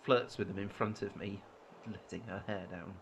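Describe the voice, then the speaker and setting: An actor playing an anxious abused man in a radio play, defensive and halting as he excuses his controlling partner. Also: deep voice, steady tone, vocal fry, slight background noise. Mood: hurt, subdued.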